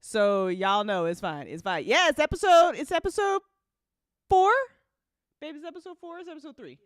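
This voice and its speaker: A woman hosting a casual livestream, effusive and excitable, repeating phrases and swearing freely. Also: sits high, moderate pace, no room sound, clean studio sound. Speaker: host and voice actor.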